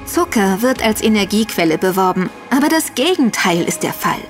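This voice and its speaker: A woman's voice: mid-range voice, big pitch swings, normal speed, soft background music. A woman delivering scripted advisory narration, speaking directly to the listeners.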